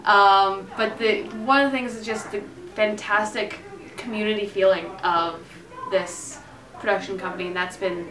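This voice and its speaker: A woman theatre director talking enthusiastically and off the cuff in an interview. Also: mid-range voice. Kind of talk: unscripted interview talk. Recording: roomy echo, distant microphone.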